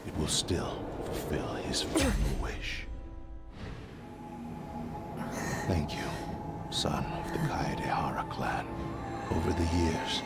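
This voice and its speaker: Proud, weary cursed-blade spirit: very low, grave, formal game voice under loud music. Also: male voice, heavily acted. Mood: fading, solemn. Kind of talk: video game voice acting. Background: prominent music.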